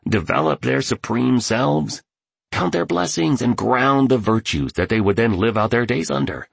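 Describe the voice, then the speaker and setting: Guy doing an eccentric billionaire guru for an audiobook, deep raspy voice, preachy and emphatic. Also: no room sound, full character voice. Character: gleeful eccentric billionaire mentor, male, grandly encouraging.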